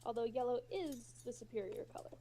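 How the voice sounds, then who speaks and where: Woman voicing a soft-toned, easygoing joker guy. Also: a little high, animated, fairly quick, voice pushed up. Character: easygoing, joking, laid-back young man.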